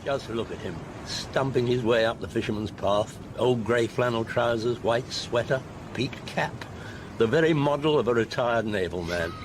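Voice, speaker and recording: An actor playing a polite, observant older English gentleman, dry and gently gossipy, in a radio drama. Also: medium pitch, close to an everyday voice. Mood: musing. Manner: measured, polite, dryly inquisitive. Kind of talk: British radio drama acting. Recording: noisy radio play recording.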